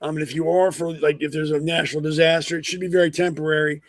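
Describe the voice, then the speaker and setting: A man hosting a political talk show, blunt and rambling with lots of ums, hoarse from a cold. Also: fairly high pitch, level tone, quick pace. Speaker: podcast host. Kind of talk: opinionated political commentary.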